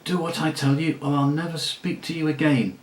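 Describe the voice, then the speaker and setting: A man's voice: fairly high pitch, measured pace, reverberant, pitched above the performer's own voice, lightly acted. A man voicing a brave young noble girl on the run, speaking in a raised, urgent, commanding way.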